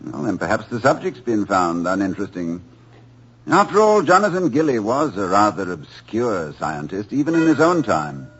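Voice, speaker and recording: An actor playing a genteel, witty college president with a dry, urbane manner, in 1940s radio acting on a dull old broadcast recording. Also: male voice, lowish voice, big pitch swings, normal speed, breathy edge. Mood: wry. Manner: urbane, dry wit, chuckles often.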